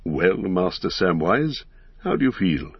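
A man voicing a wise, kindly old wizard in a storybook, speaking in a measured, formal, gently ceremonious way. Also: low-pitched, very animated, husky, no echo, muffled recording, exaggerated voice. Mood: gentle, solemn.

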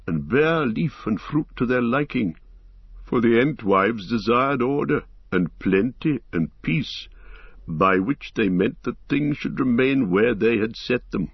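A man voicing an ancient, slow-spoken male tree giant, unhurried and musing, with a gravelly, husky voice. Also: medium pitch, animated, no room sound, highs cut off. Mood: storytelling.

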